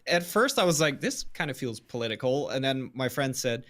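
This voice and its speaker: A man's voice: mid-range voice, lively intonation, brisk, a bit bright. Young guy co-hosting a movie stream, regular mid voice, just chatting casually. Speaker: co-host of a movie discussion livestream. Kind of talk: casual chat.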